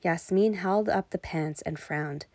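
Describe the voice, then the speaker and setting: A woman's voice: fairly low pitch, unhurried, a little mellow, no room sound. Dry-sounding woman reading a storybook.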